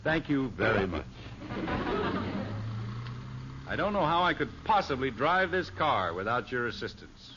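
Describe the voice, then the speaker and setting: A man's voice: mid-range voice, sing-song, a little fast, muffled recording, natural voice. Man playing a middle-aged American sitcom dad, wry, exasperated, swooping intonation, noisy vintage radio recording. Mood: sarcastic. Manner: dry, sarcastic. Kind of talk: 1950s radio sitcom acting.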